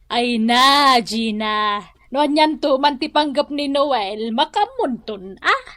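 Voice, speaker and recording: A woman's voice: high-pitched, animated, takes it slowly, a bit bright, no room sound, full character voice. An actress playing a long-suffering, protective middle-aged mother in a radio drama, with an Ilocano accent. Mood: indignant. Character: long-suffering, protective village mother, reproachful but loving.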